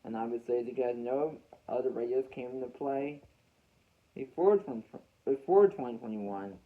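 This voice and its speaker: Halting young American man, muffled lo-fi recording. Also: mid-range voice. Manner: halting, repeats and rephrases phrases. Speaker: weather radio hobbyist vlogger. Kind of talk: casual unscripted storytelling to viewers. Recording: dull, muffled lo-fi home recording.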